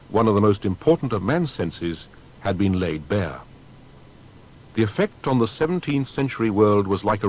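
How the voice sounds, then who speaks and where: A man's voice: fairly low pitch, rough recording. A man narrating a scripted biographical documentary in a dramatic, emphatic storytelling manner.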